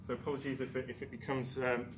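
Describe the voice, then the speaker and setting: A man presents to a conference audience in a darkened, echoey lecture room, picked up by a far camera. He talks in a casual, self-deprecating way, full of ums and restarts. His pitch is middling, and a steady noise sits behind him. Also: fast. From Newcastle, England. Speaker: conference speaker. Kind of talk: presentation talk.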